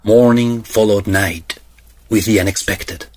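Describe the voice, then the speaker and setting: A man playing a grandiloquent narrator of a Victorian audio drama farce, telling it in a measured storytelling manner. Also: fairly low pitch, lightly acted. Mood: wry, storytelling.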